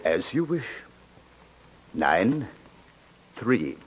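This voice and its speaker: An actor playing a suave, courteous master gambler dealing cards in a 1940s radio drama, polite and measured. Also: male voice, on the low side, sing-song, heavily acted. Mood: cool, wary. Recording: dull 1940s radio recording, crowd murmur behind.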